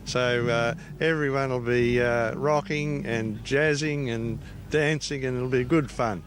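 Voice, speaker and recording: An Australian man, an event organiser, giving an informal, halting interview on location. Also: mid-range voice, steady tone. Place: outdoors by an event tent. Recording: loud music and event noise behind.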